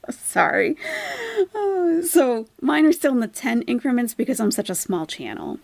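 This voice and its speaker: A woman's voice: a little high, big pitch swings, moderate pace, gravelly, a little mellow, no echo. A woman hosting a casual live commentary stream, explaining things emphatically to viewers and asking rhetorical questions. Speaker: livestream host, YouTube commentator.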